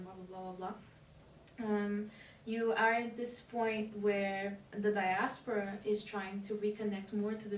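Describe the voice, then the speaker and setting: Woman host, flat voice, roomy and distant. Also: medium pitch. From Portugal. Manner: meandering, many fillers and trailing asides. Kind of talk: casual conversational interview. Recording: roomy echo, distant mic, faint hiss.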